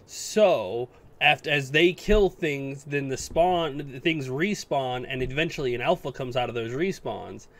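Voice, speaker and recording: A man's voice: medium pitch, measured pace, a bit bright. A man co-hosting a podcast in casual, conversational gaming talk. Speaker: podcast co-host.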